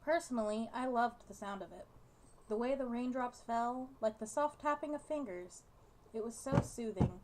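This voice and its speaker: A woman's voice: on the high side, moderate pace, darkish tone, poor audio. A young woman hosting a gaming video, chatting casually and reading game text aloud.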